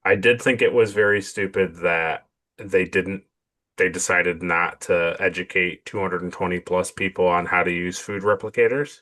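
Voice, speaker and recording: A man's voice: sits low, fairly even intonation, moderate pace, pushed and bright. A man co-hosting a casual episode review podcast, laughing often and retelling scenes with quoted lines. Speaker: podcast co-host.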